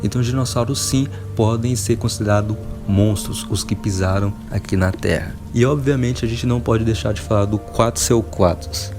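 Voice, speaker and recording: A man's voice: fairly low pitch, moderate pace, few pauses, over music. A Brazilian man hosting a casual enthusiast video, talking in an emphatic, informal, wonderstruck way. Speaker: video host.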